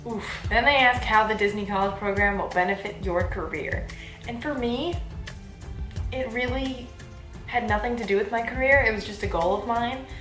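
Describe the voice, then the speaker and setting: A woman in her twenties giving casual advice in a chatty vlog, with a bubbly, animated, informal manner. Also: on the high side, normal speed. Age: 20s. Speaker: vlogger giving application advice. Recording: roomy echo, faint background music.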